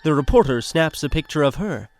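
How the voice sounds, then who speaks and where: A man's voice: a little high, lively intonation, a little fast, a little mellow. A man reading scripted stage directions as the narrator of an audio drama. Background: music.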